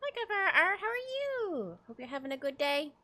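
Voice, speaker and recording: A woman's voice: extremely high pitch, very animated, a little fast, bright, forceful tone. A young woman streaming a game, chatting casually and giggling as she greets viewers. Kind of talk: casual livestream chat.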